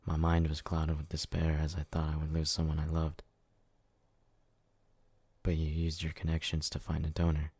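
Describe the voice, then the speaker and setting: A man's voice: extremely low pitch, monotone, moderate pace, pauses often, a little mellow, slightly rough, dry recording, full character voice. A man playing a devoted, brooding, hot-headed but tender yandere in an ASMR roleplay, speaking with earnest intensity. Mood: solemn.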